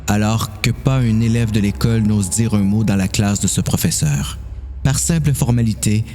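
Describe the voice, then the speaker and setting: A man's voice: fairly low pitch, dark tone. A man with a Quebec French accent narrating a scripted, dramatised story.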